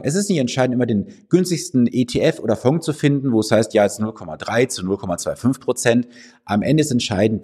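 A man's voice: medium pitch, warm tone, husky. A man with a slight cold, hosting a finance podcast and giving direct, persuasive advice to the listener from his office. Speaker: financial advisor and podcast host. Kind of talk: direct-to-camera advice monologue.

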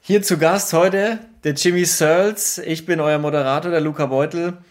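A man's voice: fairly high pitch, steady tone, normal speed. A man hosting a podcast, asking questions in a jokey, easygoing interview chat. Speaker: podcast host.

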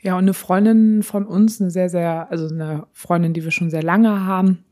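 Slightly hoarse lady podcast cohost, lowish voice, laughs a lot, rambly casual chat. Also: steady tone, moderate pace, dark tone, slight background noise. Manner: chatty, laughs often, rambling restarts. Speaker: podcast co-host.

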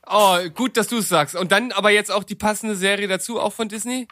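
Male podcast guest, casual delivery, pitch a little above average. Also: fairly quick, bright, forceful tone. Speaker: podcast panelist. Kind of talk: casual chat.

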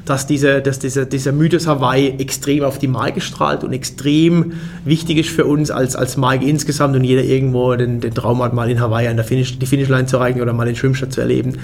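A man's voice: mid-range voice, flat delivery, a little hiss or hum. A German man in his forties, a race organizer, answering interview questions in a casual way and often restarting his sentences. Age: 40s. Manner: fast, restarts and repeats words.

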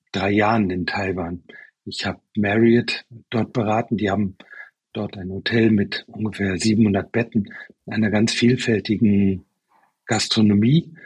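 A man in his sixties, a chef, answering interview questions at length in a relaxed, expansive way with many fillers. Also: on the low side, slightly rough, no room sound, rough recording. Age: 60s.

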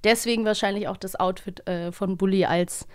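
A woman's voice: medium pitch, steady tone, a little fast, clear, resonant tone, dry recording, studio quality. A woman with a German accent co-hosting a podcast about audio dramas in an informal, chatty way. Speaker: podcast host. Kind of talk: casual co-hosted chat about children's audio dramas.

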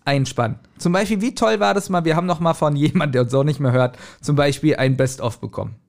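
A man's voice: fairly high pitch, fast, vocal fry. A man co-hosting a podcast in casual, joking banter. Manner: chatty, joking. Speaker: podcast co-host.

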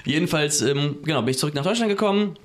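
A young man, a startup founder, explaining his background step by step in a relaxed way as a podcast guest. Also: fairly high pitch, quick pace. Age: young adult. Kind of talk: casual interview answers.

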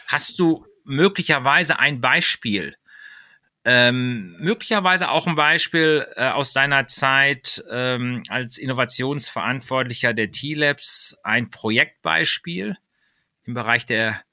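A man hosting a business podcast, his voice strikingly bright and forward. He asks long, looping questions packed with hesitation sounds, recorded dry and close with no room around him. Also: medium pitch, slow pace, no room sound. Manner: long winding questions with many fillers. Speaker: podcast host. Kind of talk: interview questions.

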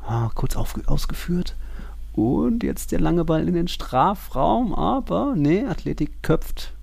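A man's voice: fairly high pitch, very animated, takes it slowly, vocal fry, darkish tone, dry recording. A man co-hosting a football podcast, talking casually and commenting on play as it unfolds.

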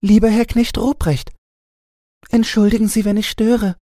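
A man's voice: high voice, little pitch movement, normal speed, mellow, dry recording, studio quality, pitched above the performer's own voice, natural voice. A man voicing an eager, insistent little girl in a Christmas storybook, with a childlike, pleading, emphatic manner. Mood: polite, earnest.

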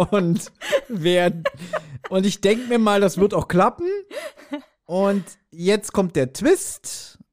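A man co-hosting a podcast in casual chat, telling things like an animated storyteller. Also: high voice, slow pace, pauses often. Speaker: podcast co-host. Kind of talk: casual chat, speculating about an audio drama episode.

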